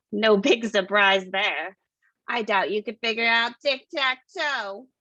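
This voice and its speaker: A woman playing a scornful, commanding femme fatale villain with a sardonic, deliberate delivery, in a noir staged reading with slightly dull sound. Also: mid-range voice, pushed and bright, dry recording. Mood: mocking.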